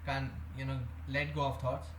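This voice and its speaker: A man's voice: medium pitch, monotone, normal speed. A young man, talking hesitantly to the camera in a rambling confessional vlog, full of fillers and restarts. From India. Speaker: vlogger. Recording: echoey room with faint background hum.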